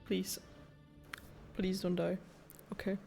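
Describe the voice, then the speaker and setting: A woman's voice: medium pitch, little pitch movement, slow pace, vocal fry, warm tone. Casual woman gamer over faint music. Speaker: gamer commentating a playthrough. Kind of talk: casual reaction commentary. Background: faint game music.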